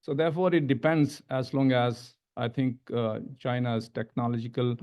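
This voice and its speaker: A middle-aged man with an Indian accent giving a panel presentation as an academic, halting and repeating words as he stresses each new point. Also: medium pitch, fairly even intonation, darkish tone. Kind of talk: panel presentation, semi-scripted lecture. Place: medium-sized indoor conference room. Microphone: gooseneck table microphone.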